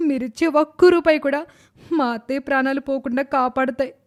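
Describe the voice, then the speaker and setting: Woman acting a scheming high-voiced young daughter-in-law. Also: steady tone, a little fast, natural voice. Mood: falsely pleading. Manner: calculating, dramatic, self-pitying when pleading to others. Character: scheming, cruel, greedy young daughter-in-law with false sweetness. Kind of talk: animated moral story voice acting.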